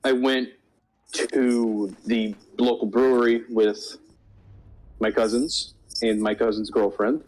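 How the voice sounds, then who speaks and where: A man's voice: mid-range voice, little pitch movement, takes it slowly, a little mellow, rough recording. A young man in casual conversation as a co-host, telling stories with swearing and joking banter. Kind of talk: casual storytelling chat over a game.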